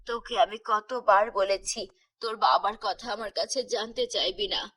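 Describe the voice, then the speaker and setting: Woman as a strict mother in a lowered voice. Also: unhurried, fairly bright tone. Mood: stern, annoyed. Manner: firm, curt. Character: strict, evasive mother of a young girl. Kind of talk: children's cartoon story.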